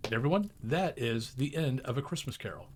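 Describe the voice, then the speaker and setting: Male host, informal and casual, somewhat halting, medium voice with lively intonation. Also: medium pitch, a little hiss or hum. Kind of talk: casual sign-off to listeners.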